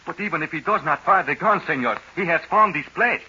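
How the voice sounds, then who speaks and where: An actor playing a loyal, courteous Mexican band leader in a radio serial, with a Spanish accent and formal, deferential phrasing. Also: male voice, on the high side, lively intonation, fairly quick, sharp tone, breathy edge, full character voice. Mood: earnest, deferential. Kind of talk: 1940s radio acting. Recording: muffled old radio recording.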